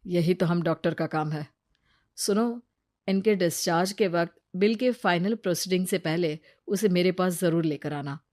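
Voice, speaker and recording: A woman's voice: on the low side, normal speed, darkish tone, no room sound, studio quality, natural voice. A woman voicing a resolute, devoted male doctor who speaks with authority in a cartoon story. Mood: calm, instructing.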